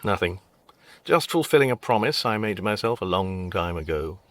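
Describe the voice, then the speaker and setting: Fussy english dad, exasperated, bright voice up and down all over, radio comedy. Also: male voice, low-pitched, lively intonation, normal speed. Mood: wry. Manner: exasperated, dry, pedantic. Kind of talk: radio comedy drama acting.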